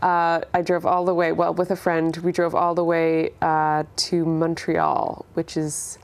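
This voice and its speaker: A woman's voice: low-pitched, completely flat delivery, a little slow, vocal fry. A young woman from Canada with a Canadian accent, an interview guest chatting casually and thinking aloud. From Canada, born in Ireland. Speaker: interview guest, singer-songwriter. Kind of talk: casual chat.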